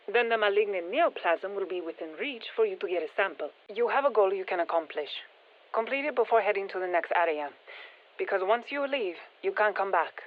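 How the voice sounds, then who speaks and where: A woman playing a brisk, tech-minded science operative in a video game, giving matter-of-fact, wry mission instructions over a radio filter. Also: mid-range voice, narrow, tinny audio. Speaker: mission handler. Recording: thin band-limited radio comms sound.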